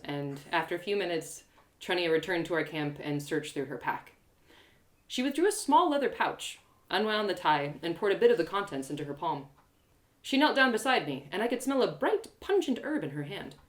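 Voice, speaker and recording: A woman performing a first-person adventure story as a live reading, dramatic and expressive. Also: fairly low pitch.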